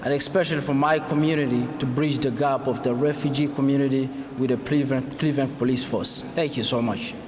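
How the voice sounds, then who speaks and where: A man's voice: mid-range voice, fairly even intonation, a little fast, hardly any pauses. A man from the Democratic Republic of Congo, a refugee community advocate, giving an eager, grateful statement. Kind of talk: formal public statement. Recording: echoey hall with faint noise.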